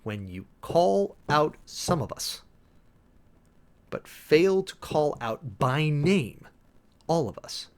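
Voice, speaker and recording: A man's voice: fairly high pitch, sing-song, slow, pauses often. A man giving a dramatic, emphatic reading of an official document, breaking off often for sardonic asides and commentary as host. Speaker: host, preacher.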